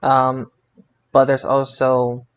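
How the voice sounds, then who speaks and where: A man co-hosting a casual wrestling review podcast in a halting way, often repeating his words. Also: mid-range voice, monotone, clean tone. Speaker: podcast co-host.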